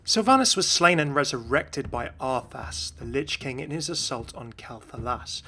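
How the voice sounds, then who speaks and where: A man's voice: mid-range voice, animated, moderate pace, sharp tone. A man giving explanatory commentary as the host of a gaming video. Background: music.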